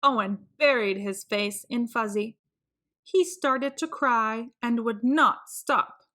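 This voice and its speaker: Woman, children's read-aloud, sing-song, very slow, bright tone. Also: on the high side. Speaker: children's book reader.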